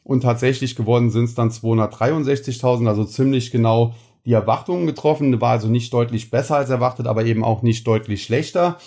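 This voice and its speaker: A man's voice: medium pitch, quick pace, hardly any pauses, dark tone. A man with a cold, hosting a podcast and explaining stock market news casually, with frequent filler sounds. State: has a cold. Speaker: podcast host.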